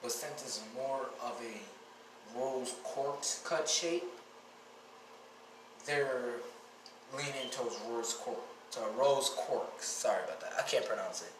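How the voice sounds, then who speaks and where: A man with a scratchy, raspy voice and a stuffy nose from a cold, rambling through a story and often correcting himself. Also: medium pitch, takes it slowly. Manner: rambling, with frequent self-corrections. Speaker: fan-fiction storyteller. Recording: roomy, distant mic, noisy background.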